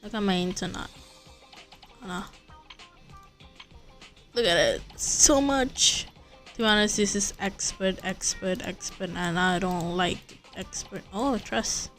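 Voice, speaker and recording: A woman's voice: medium pitch, slow pace, pauses often, fairly bright tone, faint music behind. A woman livestreaming a video game, chatting casually and thinking aloud in rambling, repeated phrases. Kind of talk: casual livestream chat while gaming.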